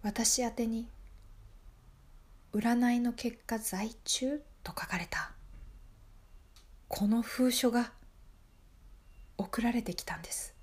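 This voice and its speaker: A woman acts out a desperate single woman in her thirties in an improvised radio play. Her voice is high, and she pleads and repeats herself in an overwrought, gullible way. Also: steady tone, slow, pauses often. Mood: agitated, earnest. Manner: pleading, breathless repetition, overwrought. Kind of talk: improvised radio drama acting.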